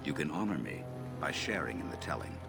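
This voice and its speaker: An actor playing an old elf storyteller, a grave and gently scolding elder who speaks in a solemn, measured, oratorical way. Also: male voice, very deep voice, big pitch swings, gravelly, exaggerated voice. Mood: solemn storytelling. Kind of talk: dramatic storytelling game dialogue. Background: music.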